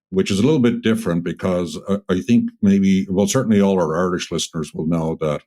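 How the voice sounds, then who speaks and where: A man hosting a podcast who asks measured questions and checks facts with his guest. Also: deep voice, a little mellow. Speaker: podcast host. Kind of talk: interview questions.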